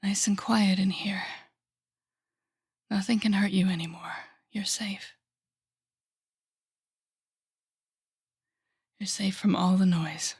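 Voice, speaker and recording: A woman playing a telepathic supervillain in an audio roleplay, a bitter but protective mentor with a sardonic, deliberate manner. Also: mid-range voice, flat delivery, a little mellow, husky. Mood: tender, hushed. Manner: sardonic, deliberate, shifting to soothing whispers. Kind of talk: dramatic audio roleplay acting.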